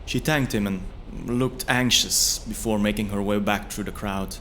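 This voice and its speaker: A man reading scripted first-person fantasy narration in a measured, solemn storytelling manner. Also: lowish voice, fairly even intonation, fairly bright tone, a little hiss or hum. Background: music.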